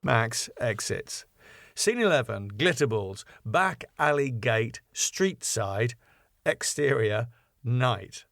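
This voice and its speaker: A male narrator with a medium-pitched voice reads scene headings and stage directions. The delivery is scripted narration, recorded close and dry. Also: lively intonation, slow pace, gravelly, fairly bright tone, no echo. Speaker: narrator and writer reading his script.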